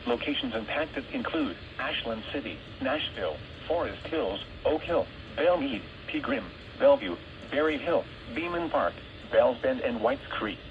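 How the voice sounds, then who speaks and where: A synthetic man's voice reads formal scripted weather warnings over weather radio in a measured, impersonal way. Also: medium pitch, wildly swooping pitch, vocal fry, lo-fi sound. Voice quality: synthetic text-to-speech voice. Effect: weather radio broadcast. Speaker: automated weather radio announcer. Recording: noisy weather radio picked up in room.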